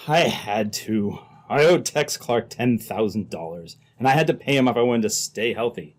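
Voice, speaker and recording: A man acts out a scheming embezzler in an old-time radio play. He is nervous yet calculating, stalling and placating. His pitch moves in lively swings around a middling register. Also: unhurried. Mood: grim, desperate. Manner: hesitant, placating, reasoning.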